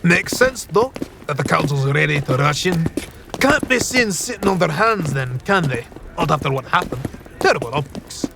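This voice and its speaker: An actor playing a cheeky, scheming card cheat in an audio fantasy drama, with a sly, teasing, slangy way of talking. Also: male voice, fairly high pitch, lively intonation, normal speed, vocal fry, music in the background. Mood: exasperated.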